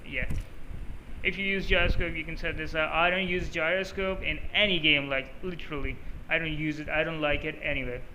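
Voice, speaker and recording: A man's voice: a little high, fairly even intonation, fairly quick, sharp tone, slight background noise, poor audio. A man whose first language is Urdu, giving a loose, rambling settings walkthrough over a screen recording, with plenty of filler sounds. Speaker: mobile gaming channel host. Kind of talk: casual off-the-cuff settings walkthrough.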